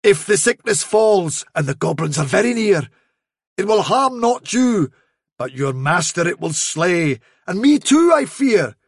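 A man's voice: high voice, sing-song, measured pace, breathy edge, dry recording, studio quality, exaggerated voice. A man voicing a cunning male trickster rabbit posing as a fairy, in a storybook reading, delivering sly, solemn, dramatic warnings.

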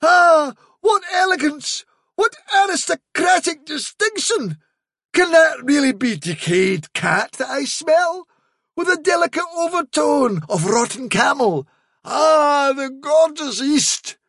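A man voicing a gullible storybook guard dog, bristling and easily awed, speaking in excitable exclamations. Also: very high voice, takes it slowly, dry recording, voice pushed up, exaggerated voice. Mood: awed rapture. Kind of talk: dramatic storybook reading.

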